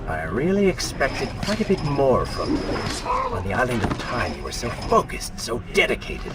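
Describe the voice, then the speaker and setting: An actor voicing a selfish, sardonic dark inner voice that taunts and goads, in a video game. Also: male voice, medium pitch, wildly swooping pitch, normal speed. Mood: mocking. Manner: mocking, taunting, goading. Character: sardonic dark inner voice, selfish sneering male alter ego. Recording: loud music behind the voice.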